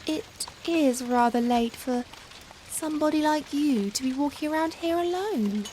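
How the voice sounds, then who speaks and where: A woman playing a young, charming female Victorian vampire in a softly spoken ASMR roleplay, gentle and caring, with formal old-fashioned phrasing. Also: high-pitched, unhurried. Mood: tender concern. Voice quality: soft, gentle. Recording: steady rain noise behind the voice. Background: rain ambience.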